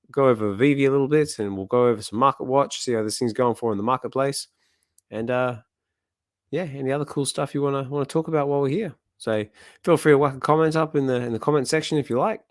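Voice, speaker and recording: A man with a slightly hoarse voice hosting a comic reading stream, chatting casually and rambling with lots of fillers. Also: mid-range voice, quick pace, dry recording, clean studio sound. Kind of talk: casual chat.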